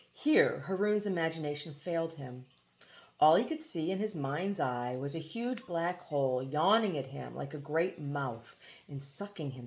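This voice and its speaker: A woman reads a storybook aloud in a lower-pitched voice. Her narration is expressive, in the manner of an amateur audiobook reader with a casual touch.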